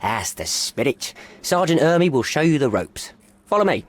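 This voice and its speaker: An actor playing a chatty, affable and put-upon police desk officer in a video game, informal and wry. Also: male voice, fairly high pitch, big pitch swings, normal speed. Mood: breezy.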